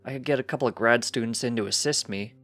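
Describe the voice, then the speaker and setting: A man's voice: mid-range voice, fast talker, a bit bright, soft background music, lightly acted. A man voicing a distracted, breezy male unicorn scientist, a doting husband in a storybook reading.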